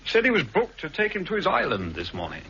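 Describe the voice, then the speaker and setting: A man playing a brisk, cool-headed government agent in a 1960s radio drama, speaking with crisp, dry understatement. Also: sits high, huge pitch swings, pushed and bright, heavily acted. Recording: dull archive radio recording with faint hiss.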